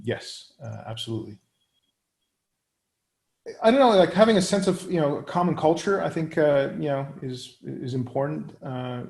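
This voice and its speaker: A man in his thirties, raised in the Netherlands, answers interview questions at an unhurried, searching pace. His voice sits at an ordinary middle pitch with a noticeably gravelly edge. He keeps pausing to hunt for the right words. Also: a little mellow. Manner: many fillers and restarts, searching for words. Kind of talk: hesitant conversational answers.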